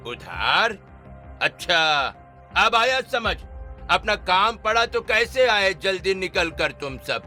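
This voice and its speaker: A man acts out a bullying contractor in a melodramatic story. His voice is high for a man and piercingly bright, full of scorn and mockery, with music behind it. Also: very animated, slow pace, full character voice. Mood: mocking. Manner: sneering, mocking rhetorical questions. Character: harsh, scornful male construction contractor, greedy bully boss. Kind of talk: melodramatic Hindi story dramatization.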